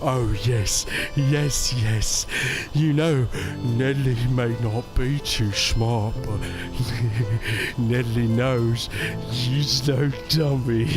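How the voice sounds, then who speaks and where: A lumbering, kind-hearted simpleton, talks at a crawl with music underneath. He stammers timidly in broken, childlike phrases, like a gentle giant in a radio play. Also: medium pitch, fairly even intonation. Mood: smitten. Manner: simple broken third-person speech, halting, stammering. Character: big, gentle, slow-witted man, childlike and timid. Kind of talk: audio drama acting.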